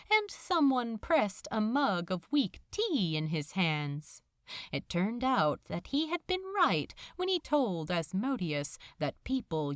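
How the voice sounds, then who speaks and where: A woman reading literary fiction aloud as scripted audiobook narration, in a measured, dramatic storytelling manner. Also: medium pitch, dry recording, studio quality.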